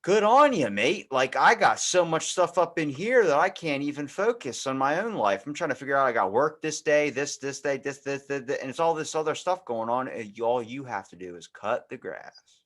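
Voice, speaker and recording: A man's voice: medium pitch, animated, normal speed, few pauses, fairly bright tone. A young man hosting a podcast in casual, rambling chat, slangy and prone to swearing and acting out dialogue. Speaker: podcast host.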